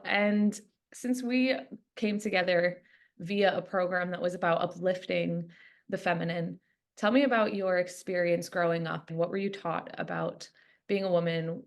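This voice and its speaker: Gravelly-voiced woman hosting a podcast. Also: on the low side, steady tone, moderate pace. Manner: earnest, encouraging, asks long questions. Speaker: podcast host, coach.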